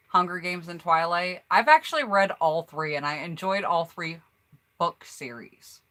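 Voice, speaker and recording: A woman's voice: deep voice, bright, forceful tone. An American woman chatting casually on a home mic, reading out viewers' answers in an upbeat, rambling way. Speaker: YouTuber hosting a collab tag.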